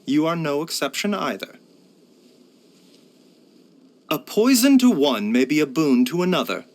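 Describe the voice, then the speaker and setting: An actor playing a gentle, scholarly herbalist doctor in a video game, with a courteous, measured, formal way of speaking. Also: male voice, a little high, expressive. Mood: earnest, reflective. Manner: measured, courteous, soft-spoken formal phrasing. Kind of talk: scripted video game character voice lines.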